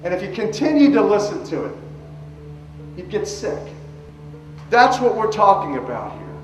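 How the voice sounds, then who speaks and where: A man with a high voice speaks as a lecturer, emphatic and deliberate in his phrasing. The sound is roomy and echoing, with faint music running underneath. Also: very animated, far from the mic. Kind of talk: lecture-style explanation.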